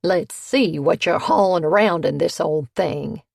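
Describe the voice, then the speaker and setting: A woman's voice: lowish voice, a little slow, vocal fry, no room sound, clean studio sound. Woman doing a cranky grumbling old lady. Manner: grumbling, petulant.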